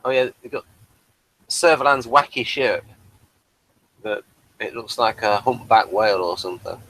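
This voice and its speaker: A man's voice: lowish voice, moderate pace, fairly bright tone, low-quality recording. A man chatting casually as co-host of a review show.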